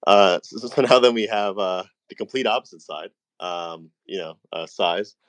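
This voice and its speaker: Very deep-voiced man, casual moderator. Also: normal speed, no echo. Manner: hesitant, roundabout questions. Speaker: interviewer, moderator.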